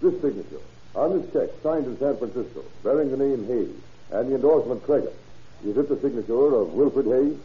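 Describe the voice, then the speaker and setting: An actor playing a formal, methodical prosecutor questioning witnesses in an old-time radio courtroom drama. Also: male voice, mid-range voice, lively intonation, a little fast, breathy edge. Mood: formal, probing. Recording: dull vintage radio recording.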